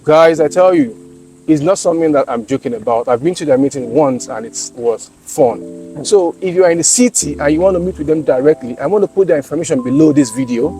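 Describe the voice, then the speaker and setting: A man's voice: on the high side, a little fast, warm tone, soft background music. A man hosting an interview podcast, enthusiastic, full of affirmations and speaking directly to viewers. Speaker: podcast host.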